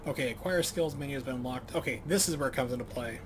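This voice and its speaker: Man streaming, reading tutorials aloud haltingly. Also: mid-range voice, noisy background. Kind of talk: thinking aloud while reading game tutorials.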